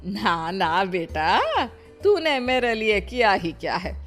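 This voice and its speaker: A woman's voice: mid-range voice, animated, takes it slowly. A woman voicing a protective, scolding elderly mother-in-law in a dramatized moral story. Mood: indignant. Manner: blunt, scolding, questioning. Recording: music behind the voice. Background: background music.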